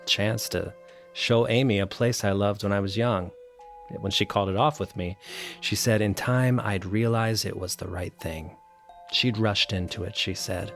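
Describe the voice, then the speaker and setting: A troubled, bookish man in an audio drama. His voice sits fairly low, and he talks in a hesitant, reflective way, as if turning over old memories. Music plays softly behind him. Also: gravelly. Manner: hesitant, halting, introspective. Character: troubled, bookish, melancholy man revisiting childhood holiday guesthouse.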